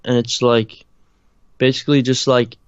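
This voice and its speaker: A young man from Ireland with a slight Irish accent, answering interview questions in a halting, casual way, full of fillers and restarts. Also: mid-range voice, level tone, clean tone, no echo. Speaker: interviewed musician. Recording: dry, muffled lo-fi recording.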